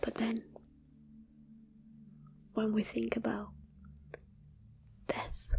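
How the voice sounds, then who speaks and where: Very slow whispering woman, ASMR meditation. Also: on the high side, completely flat delivery, a little hiss or hum. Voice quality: breathy whisper. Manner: hushed, soothing, drawn-out phrases with long pauses. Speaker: ASMR channel host and meditation guide.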